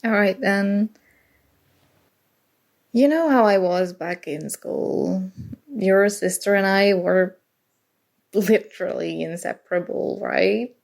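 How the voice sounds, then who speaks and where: A woman playing a shy, awkward college-age roommate in an intimate ASMR roleplay, speaking haltingly and nervously. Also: medium pitch, gravelly, darkish tone. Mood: nostalgic. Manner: hesitant, halting, sighing.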